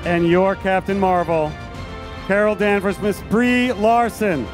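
High-pitched man presenting on stage. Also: steady tone, unhurried. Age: middle-aged. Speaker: presenter at a fan convention. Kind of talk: stage announcements introducing guests. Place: large convention hall stage. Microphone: handheld microphone. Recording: live stage PA with music.

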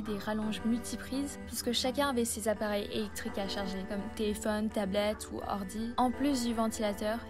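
A young woman with a slightly high voice reads her own earnest, reflective story as a voice-over. Her delivery is steady and scripted. A clear music bed plays under her. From Vietnam. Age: young adult. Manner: earnest, reflective, evenly paced reading. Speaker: vlogger. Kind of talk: scripted personal narration. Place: voice-over, not recorded in the pictured places.

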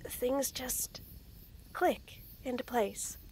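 A young woman voicing a shy, nervous tomboy friend in a romantic roleplay audio, stammering, giggling and speaking softly. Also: high-pitched, drawn-out words, slight background noise, low-quality recording. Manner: stammering, giggly, soft-spoken. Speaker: voice actor.

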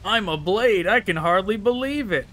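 A man's voice: high voice, expressive, normal speed, sharp tone. A man giving casual gameplay commentary as a gaming host, chatty and wry, thinking aloud.

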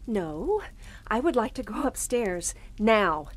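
A woman's voice: mid-range voice, very animated, takes it slowly. A woman playing an anxious, suspicious young honeymooning wife in an audio drama. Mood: urgent, tense. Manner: hesitant, anxious, insistent.

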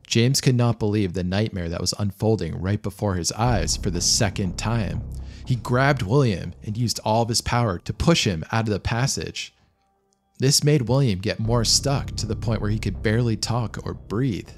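A man reading scripted documentary narration of a true disaster story in a measured, matter-of-fact way. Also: on the low side. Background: background music.

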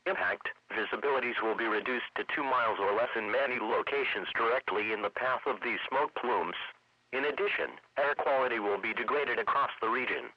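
A man's synthetic voice reading a scripted weather forecast in a measured, impersonal way. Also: medium pitch, dry recording. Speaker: weather radio announcer. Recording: dull lo-fi weather radio broadcast.

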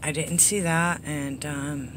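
A middle-aged woman with a hoarse, under-the-weather voice, giving a casual, rambling update to viewers in a low-key, apologetic way. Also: steady tone, takes it slowly. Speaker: slots vlogger. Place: inside a parked car. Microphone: phone at arm's length. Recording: background noise inside a vehicle.

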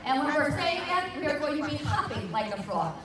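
A woman's voice: high-pitched, fairly quick. A woman playfully leading a children's circle dance at an outdoor festival, talking into a handheld mic. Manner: playful, animated instructions to a crowd. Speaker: folk dance leader. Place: grassy park at an outdoor festival. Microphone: handheld mic, filmed from a distance. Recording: distant, echoey, with music and crowd.

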